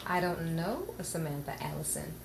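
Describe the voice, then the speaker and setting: A woman's voice: sits low, very animated, moderate pace, creaky, exaggerated voice. A woman playing a frightened, distrustful crime witness in an improvised noir radio play. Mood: wary, alarmed. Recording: echoey room, distant mic, faint noise.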